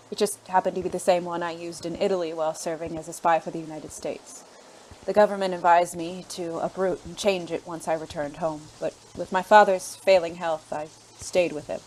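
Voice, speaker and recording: Against noticeable background noise, a woman plays a refined former spy in an audio drama. The voice is fairly low, the phrasing formal and halting, with a secretive, courteous air. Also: steady tone, a little mellow, natural voice, low-quality recording. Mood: composed, regretful.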